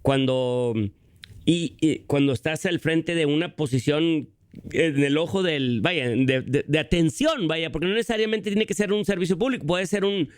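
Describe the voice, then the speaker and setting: A male radio host asking questions in a halting way, with many repeats and filler sounds. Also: a little high, no echo. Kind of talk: interviewing.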